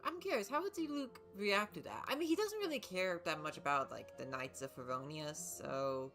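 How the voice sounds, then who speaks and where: A woman's voice: on the low side, animated, normal speed, a bit bright. A woman giving casual, playful commentary as she livestreams a video game, repeating words as she thinks aloud. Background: game music.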